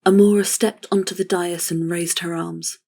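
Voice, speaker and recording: Female narrator for scripted fantasy, slightly low register, very level and solemn. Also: flat delivery, normal speed, creaky, dark tone.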